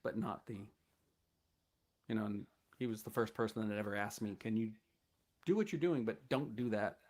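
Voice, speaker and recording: A man from the United States sits in as a guest and tells stories in a loose, easygoing way. His voice sits fairly low. He meanders through each anecdote with plenty of fillers. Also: moderate pace, darkish tone, slight background noise. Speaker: guest bass player. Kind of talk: casual storytelling chat.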